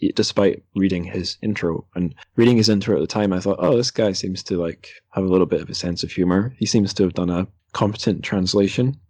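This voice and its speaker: Man, podcast host, low-pitched voice, casual interviewing, slightly hesitant phrasing, dry close sound. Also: fairly quick, hardly any pauses, a little mellow, dry recording.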